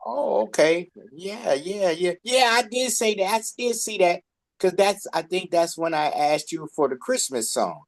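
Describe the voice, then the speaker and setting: A man hosting a radio interview, chatting casually with halting, hesitant phrasing. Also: high voice, animated, vocal fry. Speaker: radio host.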